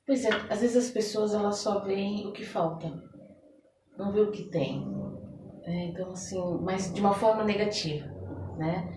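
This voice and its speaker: A woman with a Brazilian accent explains her work as an interview guest, conversational and illustrative. Her mid-pitched voice sits away from the microphone in a roomy, echoing space, with some noise behind her. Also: moderate pace. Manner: explanatory, illustrates with everyday examples and imagined quotes. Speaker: interview guest, holistic therapist. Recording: roomy echo, distant mic, background noise.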